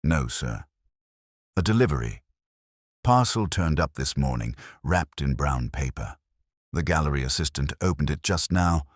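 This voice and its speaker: A man as a deferential, deep-voiced police constable. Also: lively intonation, moderate pace, no room sound, clean studio sound. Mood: urgent.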